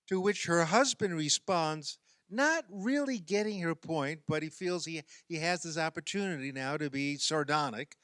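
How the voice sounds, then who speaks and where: A man giving a sermon as a minister, measured and using rhetorical questions. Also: a little high, a bit bright, dry recording, clean studio sound.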